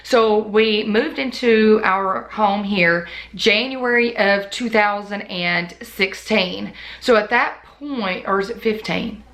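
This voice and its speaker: A woman with a bright, clear-toned voice that is still a little worn as it recovers. She chats casually and unscripted to the camera, telling a long, rambling story as a budgeting vlogger. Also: medium pitch, slow pace, hardly any pauses. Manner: chatty, talks in long run-on stories. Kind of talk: casual off-the-cuff chat to camera.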